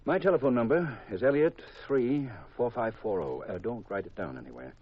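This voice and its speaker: An actor playing a grave, secretive government intelligence chief in a 1950s radio drama, deliberate and confidential on a vintage recording. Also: male voice, medium pitch, expressive, highs cut off, lightly acted. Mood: grave, instructing.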